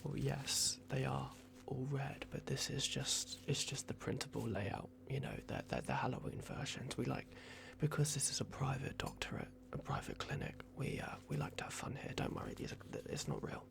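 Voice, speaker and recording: Man acting as playful vampire clinic doctor, ASMR roleplay, soft whispering, very heavy vocal fry, halting reassuring patter. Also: medium pitch, lively intonation. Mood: nervously reassuring. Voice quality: breathy whisper with gravelly creak.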